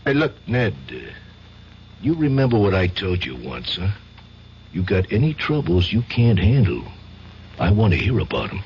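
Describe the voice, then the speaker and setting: Streetwise gangster, slangy, familiar, lively intonation; old, dull radio sound. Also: male voice, low-pitched, moderate pace, heavily acted. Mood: earnest, insistent. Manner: slangy, tough, familiar way of talking. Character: streetwise male mobster, genial, loyal, quietly menacing. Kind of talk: radio drama acting. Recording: muffled, noisy 1970s radio recording.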